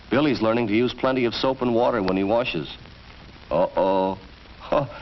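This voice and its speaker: American man, lively 1940s educational film narration. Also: mid-range voice. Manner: instructive, storytelling delivery. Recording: dull vintage film soundtrack, faint noise.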